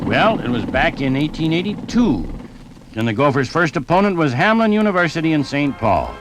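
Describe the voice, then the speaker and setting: A man narrates sports history for 1950s radio. His voice sits a little high and rises and falls with plenty of animation, in a scripted broadcast manner. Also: big pitch swings, normal speed, faint music behind, low-quality recording.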